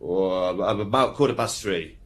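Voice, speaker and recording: An actor playing a plain, deferential rural estate gamekeeper in a radio drama. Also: male voice, deep voice, unhurried. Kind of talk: British radio drama acting.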